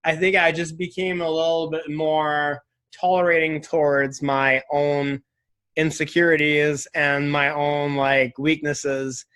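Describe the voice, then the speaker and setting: A man's voice: fairly high pitch, flat delivery, slow pace, a bit bright. A man in his twenties talking casually and reflectively as an interview guest, earnest, often saying "like" and "right?". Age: 20s.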